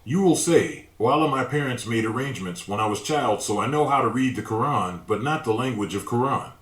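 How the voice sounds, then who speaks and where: A man reads a religious reflection in a calm, measured way, his voice at an ordinary middle pitch. The sound carries a noticeable echo, as if recorded in a bare, roomy space. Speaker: religious narrator. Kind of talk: scripted religious narration.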